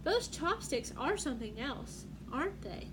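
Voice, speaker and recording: A woman voicing a gentle, reassuring mother spoon in a children's picture book, soothing and encouraging. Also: high voice, very animated, unhurried. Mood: sympathetic. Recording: clearly noisy room background.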